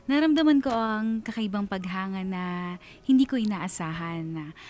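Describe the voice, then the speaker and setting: A woman's voice: medium pitch, slight background noise, lightly acted. A woman playing a sentimental female letter sender who narrates her romance in a reflective way, with a Filipino accent. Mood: smitten, wistful. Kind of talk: dramatic first-person radio storytelling.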